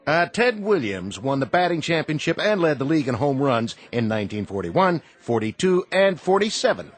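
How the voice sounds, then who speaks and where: An actor playing a weary, plain-spoken American bartender with a dry, sardonic manner in a radio drama. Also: male voice, fairly high pitch, sing-song, moderate pace. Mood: matter-of-fact.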